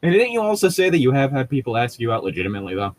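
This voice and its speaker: A man's voice: mid-range voice, big pitch swings, racing, no echo. A man in casual podcast chat, blunt and wry, swearing freely. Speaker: co-host of a reading podcast.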